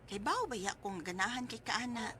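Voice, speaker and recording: A woman's voice: fairly high pitch, animated, moderate pace, sharp tone. An actress playing a devoted single daughter who cares for her sick mother, in a radio drama. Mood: caring, aggrieved. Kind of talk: radio drama acting with letter narration.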